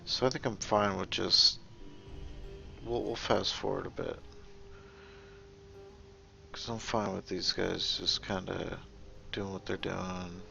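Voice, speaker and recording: A man giving casual gameplay commentary, thinking aloud in a halting, musing way and often trailing off mid-thought. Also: low voice, level tone, gravelly, pushed and bright, muffled recording, faint music behind. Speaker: gaming video host.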